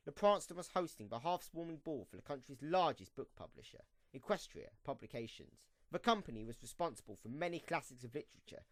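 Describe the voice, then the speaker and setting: A man's voice: a little high, no echo. A man narrating a scripted story for an amateur radio play, reading in a storybook style.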